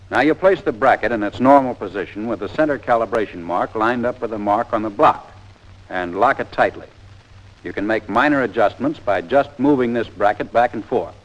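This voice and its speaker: A man with a fairly low voice and an American accent delivers scripted instructional narration. He is matter-of-fact and methodical, in the manner of a 1940s military training film narrator, heard on a period film soundtrack. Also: normal speed, low-quality recording.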